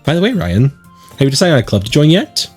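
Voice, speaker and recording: A man's voice: fairly high pitch, big pitch swings, fast talker, breathy edge, soft background music, voice pushed up. A man voicing a carefree, sweetly nagging teenage schoolgirl from a visual novel, bubbly and pleading. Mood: pleading, cheerful. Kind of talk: visual novel character read-aloud.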